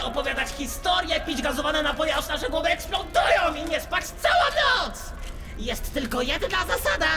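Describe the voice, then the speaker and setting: A high-pitched, frantic animatronic playmate for a video game. The delivery is theatrical and singsong, leaping wildly up and down with childlike, hyperactive cheer, over loud music. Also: male voice, vocal fry, heavily acted. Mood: giddy, excitable. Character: manic cheerful sun animatronic daycare attendant, childlike and frantic. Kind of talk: fan-dub video game voice acting in Polish.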